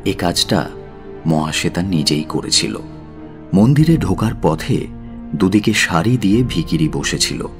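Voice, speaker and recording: A man's voice: deep voice, lively intonation, measured pace, long pauses, over music. A man narrating a literary audio story in a dramatic, scripted style.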